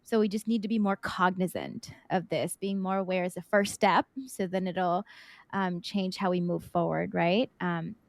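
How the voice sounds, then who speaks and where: A woman's voice: on the low side, steady tone, normal speed, creaky, no room sound. A woman hosting a podcast interview, chatty, thinking aloud and checking in with her guest. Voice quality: loud projecting voice. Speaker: podcast host. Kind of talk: conversational interview.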